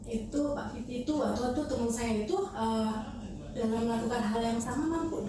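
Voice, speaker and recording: A woman's voice: fairly high pitch, fairly quick. A woman explaining things in a casual podcast talk, hesitantly and with English terms mixed in. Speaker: talent management manager, podcast guest. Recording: very echoey room, distant mic, noisy.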